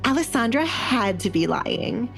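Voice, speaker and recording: A woman's voice: on the high side, sing-song, unhurried, vocal fry, soft background music, pitched above the performer's own voice, heavily acted. A woman playing an indignant, scheming noblewoman in an audio drama, haughty and accusing. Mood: indignant, suspicious. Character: indignant scheming stepmother and jealous spoiled sister.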